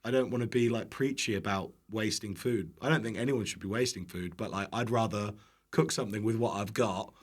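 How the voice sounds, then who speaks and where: A man's voice: on the low side, brisk. British man chatting, jokey and self-deprecating. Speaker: podcast guest, online cooking video creator. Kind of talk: casual chat.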